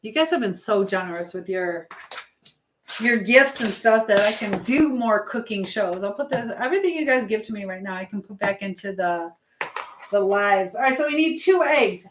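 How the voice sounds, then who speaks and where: A woman hosts an informal live stream, chatting affectionately with her viewers. She moves easily between her own remarks and comments she reads out. Noticeable background noise and small clicking sounds run behind her mid-pitched voice. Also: lively intonation, normal speed. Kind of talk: casual live chat, reading viewer comments aloud.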